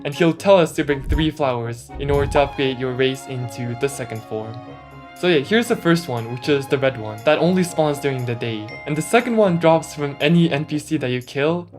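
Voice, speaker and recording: A man speaking through a voice changer, giving casual, slangy gameplay commentary with a mildly complaining manner. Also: fairly high pitch. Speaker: gaming YouTuber. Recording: music mixed under the voice. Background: background music.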